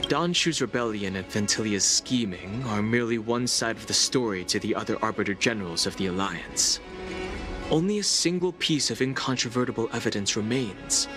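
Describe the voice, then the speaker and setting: A man playing a serious, world-weary analytical character in a video game, explaining things gravely over music. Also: lowish voice, lively intonation, moderate pace, natural voice. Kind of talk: scripted video game voice acting.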